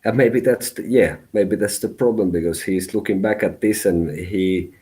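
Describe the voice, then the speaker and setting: A man from Finland whose first language is Finnish, giving a live tarot reading in halting English as he searches for words. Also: low-pitched, warm tone. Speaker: tarot reader.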